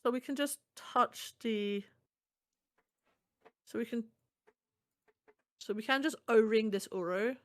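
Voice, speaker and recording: A woman's voice: fairly high pitch, slow pace, long pauses. A woman with a German accent, German native, co-streaming a card game in a chatty, agreeable way, often affirming her partner. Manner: chatty, agreeable, frequent "yeah, exactly" affirmations. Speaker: guest co-streamer. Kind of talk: casual gameplay chat.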